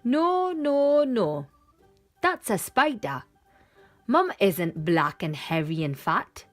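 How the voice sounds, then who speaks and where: A woman's voice: medium pitch, very animated, takes it slowly, soft background music. A woman voicing a small lost monkey child in a children's storybook, protesting emphatically as it searches for its mum. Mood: exasperated, protesting. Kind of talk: children's picture book read-aloud.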